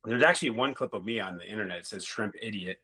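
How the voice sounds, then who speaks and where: Laid-back low-voiced male ex-hockey player. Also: quick pace, pushed and bright, husky, rough recording. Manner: rambling, laid-back, swears casually. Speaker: guest, former hockey player. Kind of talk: casual interview storytelling.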